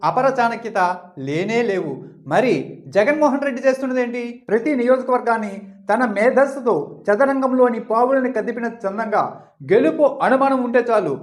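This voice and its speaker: A man delivering scripted political commentary as a channel host, emphatic and full of rhetorical questioning. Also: very high-pitched.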